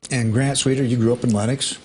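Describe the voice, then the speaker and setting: A man anchoring the news, asking guests questions in a genial, brisk way. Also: medium pitch, fairly even intonation, a little mellow, a little hiss or hum. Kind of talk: live studio interview.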